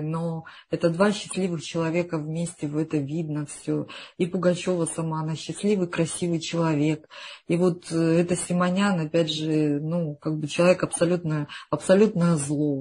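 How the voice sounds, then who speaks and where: A woman talking frankly and with strong opinions in a casual podcast conversation, often dropping to a quieter tone. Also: low voice, husky. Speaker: podcast guest.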